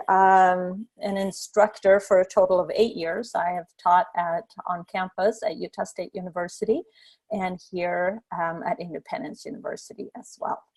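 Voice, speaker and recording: A woman from Sweden, a design instructor, giving a relaxed online lecture on a video call with many fillers and restarts. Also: medium pitch.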